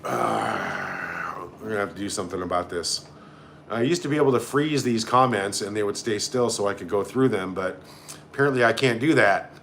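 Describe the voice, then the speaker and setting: A man's voice: lowish voice, expressive, moderate pace, creaky, fairly bright tone, a little hiss or hum. A man hosting a casual live stream, reading out comments and reacting in a blunt, sarcastic, sweary way. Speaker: livestream host.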